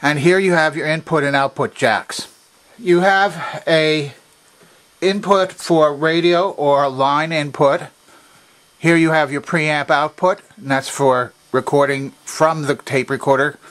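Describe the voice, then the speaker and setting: A man's voice: on the high side, steady tone, takes it slowly, fairly bright tone. Methodical man giving a how-to demonstration. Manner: methodical, precise explaining. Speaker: demonstrator of a vintage machine. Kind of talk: instructional demonstration, explanatory. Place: indoor workshop at a workbench.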